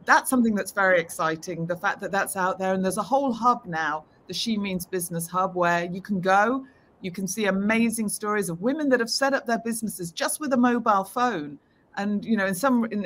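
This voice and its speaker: A woman's voice: medium pitch, normal speed, a bit bright. British woman, 50s, fluent upbeat executive guest, video call audio. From United Kingdom. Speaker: interview guest, tech executive. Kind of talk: remote interview answers. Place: home room, indoors.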